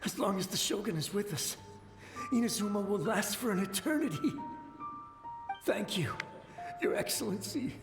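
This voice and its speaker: Frightened, devoted samurai soldier, high male voice in long reverb, urgent, pleading game delivery. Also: lively intonation, normal speed, long pauses, over music. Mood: relieved, reverent. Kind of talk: video game voice acting.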